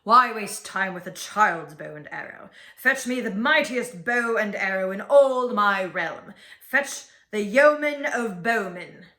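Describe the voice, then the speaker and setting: A woman voicing an impatient, commanding storybook king who barks orders loudly. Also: mid-range voice, measured pace, roomy sound. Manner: loud, bossy commands.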